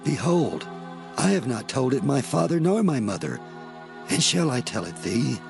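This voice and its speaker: A man's voice: medium pitch, big pitch swings, moderate pace, gravelly, over music. A man playing a proud, vengeful young strongman who declaims his challenges in a scripture drama. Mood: dismissive.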